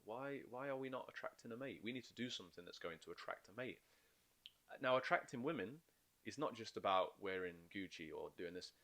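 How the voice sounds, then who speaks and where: Animated man, lowish voice. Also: brisk. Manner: animated, explains in bursts with quoted inner voices. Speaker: podcast guest, life coach. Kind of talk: casual podcast chat.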